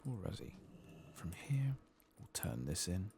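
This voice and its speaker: Male gaming ASMR host: deep, creaky, soft-spoken with some whispering, unhurried, dryly self-deprecating. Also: big pitch swings, a little hiss or hum.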